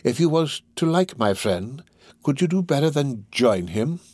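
A man voicing a sly, ingratiating older criminal in a storybook reading, drawing his words out slowly. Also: medium pitch, very animated, darkish tone, dry recording, studio quality, pitched above the performer's own voice. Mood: sly, coaxing. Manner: slow, drawn-out, ingratiating. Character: sly, wheedling older male criminal fence.

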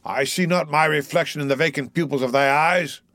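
A man's voice: a little high, fairly quick, a bit bright, no echo, voice pushed up, lightly acted. Man acting mad old sea captain, commanding, brooding, grand archaic declamation, dry audiobook sound. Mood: stern.

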